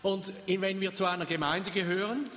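An older man from Switzerland, preaching in short phrases and pausing for an interpreter. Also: high voice, fairly bright tone, big-room sound. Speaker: pastor. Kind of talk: sermon with consecutive interpreter.

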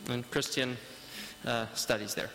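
A man interprets a sermon line by line in a bright, sharp-toned voice. He hesitates often and fills pauses while he hunts for the right word. The sound carries the long reverb of a church hall. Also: medium pitch, steady tone, gravelly, slight background noise. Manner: hesitant, halting, many fillers.

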